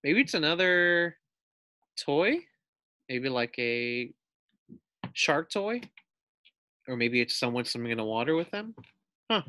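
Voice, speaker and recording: American male teacher for children's read-aloud: lively intonation, slow pace, speaks straight to young listeners. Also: a little high, no echo. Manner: addresses young listeners with questions. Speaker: teacher reading aloud to pupils.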